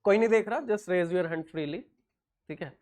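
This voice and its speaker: A man's voice: high voice, darkish tone. North Indian man lecturing a class. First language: Hindi. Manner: mixes English with quick Hindi asides, joking. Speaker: teacher.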